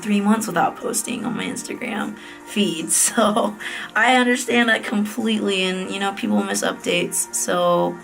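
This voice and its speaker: A woman's voice: medium pitch, gravelly, fairly bright tone, low-quality recording. Chatty American woman in her late twenties. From United States. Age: late 20s. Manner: chatty and candid, with frequent fillers and self-corrections. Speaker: vlogger. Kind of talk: casual vlog update. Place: office private room at night.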